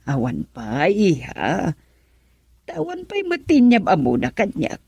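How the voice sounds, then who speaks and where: A middle-aged aunt in an Ilocano radio drama. She speaks slowly in a low, breathy voice that swoops expressively, hesitant and fussy, with a Filipino accent. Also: wildly swooping pitch, dark tone, no echo, exaggerated voice. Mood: evasive, hesitant.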